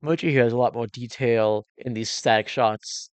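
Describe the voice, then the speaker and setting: A man's voice: medium pitch, moderate pace, dry recording. A man giving casual, exclamatory reaction commentary as a cinematographer and host, thinking aloud informally while explaining technique.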